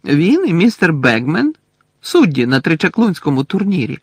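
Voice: mid-range voice, big pitch swings, normal speed, breathy edge, no room sound, clean studio sound, pitched above the performer's own voice. A reader voicing a sensible teenage girl witch who speaks firmly and reassuringly. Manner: firm, reassuring.

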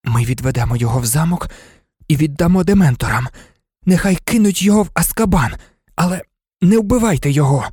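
Woman doing a teen boy hero in an audiobook, earnest, kinda breathless, insistent, lively pitch, dry sound. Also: fairly quick, husky, no echo. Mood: breathless resolve. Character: brave, earnest, resolute teenage boy.

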